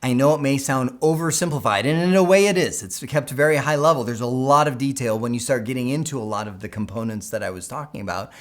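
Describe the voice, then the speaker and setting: A man's voice: mid-range voice, fast, few pauses. A man instructing in an informal, conversational technical explainer style, often checking in with the listener as he goes. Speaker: instructor explaining school PA systems.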